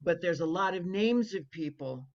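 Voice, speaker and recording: A co-host giving effusive, warm feedback on a story over a video call. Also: mid-range voice, lively intonation, normal speed. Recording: dull lo-fi video call audio.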